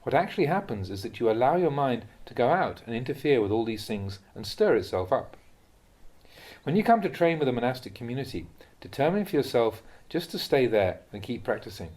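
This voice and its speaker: A man reading a translated Buddhist talk aloud as a monk would teach, in a measured, instructive manner. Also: mid-range voice, sing-song, a little mellow.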